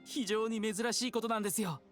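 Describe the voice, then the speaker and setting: A man's voice: sits high, very fast, loud music behind the voice, natural voice. An actor playing an earnest, eager young man in an anime game, polite and enthusiastic. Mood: excited, explaining. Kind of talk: Japanese anime game acting.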